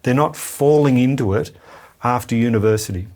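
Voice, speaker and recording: A man's voice: medium pitch, normal speed, darkish tone. A man with an Australian accent chatting as an interview guest, colloquial and frank in the way he talks. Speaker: interview guest, ministry apprenticeship leader. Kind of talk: casual conversation.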